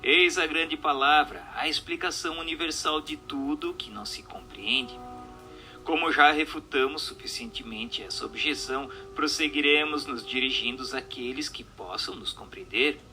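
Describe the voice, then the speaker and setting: A Brazilian man delivers scripted narration in a formal, measured storytelling manner. His voice sits fairly high and is strikingly bright and crisp-edged, moving in lively contours. Faint music plays behind him. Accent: Brazilian Portuguese. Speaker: narrator reading a book aloud.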